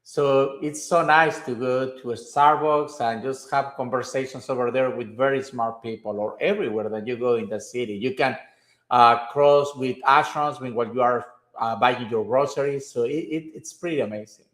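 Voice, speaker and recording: A man's voice: mid-range voice, moderate pace, fairly bright tone. Guy explaining stuff with enthusiasm, roomy echo on the mic. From Mexico. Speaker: guest, aerospace CEO. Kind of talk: interview answers. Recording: echoey room.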